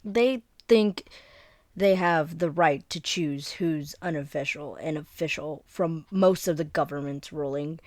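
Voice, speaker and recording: A woman plays a friendly young female werewolf, second in her pack, in an audio drama. Her voice is on the low side and unhurried, recorded dry and close to the room's silence. She explains things informally, rambling and getting a little flustered as she goes. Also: a little mellow, no room sound. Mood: earnest, passionate. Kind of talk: scripted one-sided roleplay audio drama, conversational.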